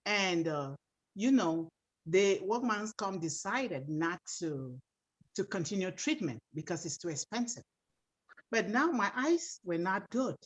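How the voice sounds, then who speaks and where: A woman's voice: medium pitch, animated, measured pace, husky. A woman from Cameroon who calls in on a video call and earnestly tells a story.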